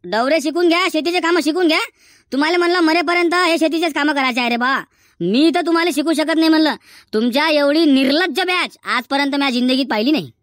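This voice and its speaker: A man voicing an exasperated village schoolteacher in a comedy cartoon, hectoring in a Varhadi Marathi accent through a pitched-up effect. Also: quick pace, a bit bright, dry recording, studio quality. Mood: scolding, despairing. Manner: hectoring, repetitive, threatening.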